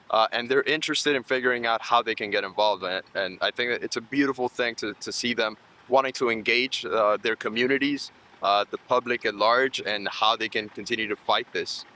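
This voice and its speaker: A man's voice: medium pitch, brisk, hardly any pauses, bright, forceful tone, low-quality recording. A man in his thirties from Colombia, raised in Miami, giving earnest, explanatory answers in a conversational interview. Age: 30s. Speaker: nonprofit program manager and interviewee.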